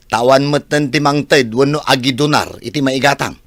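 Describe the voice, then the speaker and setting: An actor with a Filipino Ilocano accent playing a hot-tempered, defensive grown son who drives for a living, combative and indignant in radio drama style. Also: male voice, mid-range voice, steady tone, moderate pace, a bit bright, dry recording, clean studio sound, lightly acted.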